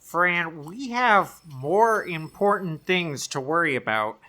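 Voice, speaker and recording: A woman's voice: on the low side, animated, slow, very noisy. A woman playing a practical, matter-of-fact and exasperated junior copy aide in a comic audio drama. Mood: reasoning, impatient.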